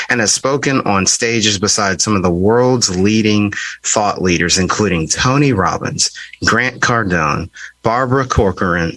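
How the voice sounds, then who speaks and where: A man hosting a podcast, introducing and interviewing a guest in a measured way with pauses and repeated words. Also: on the low side, pushed and bright, no echo, rough recording. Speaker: podcast host.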